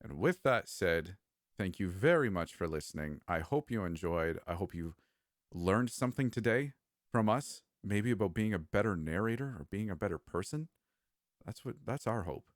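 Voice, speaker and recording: A man's voice: fairly low pitch, animated, normal speed, no echo, studio quality. A man hosting a podcast, chatting casually in a laid-back, joking way. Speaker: podcast host.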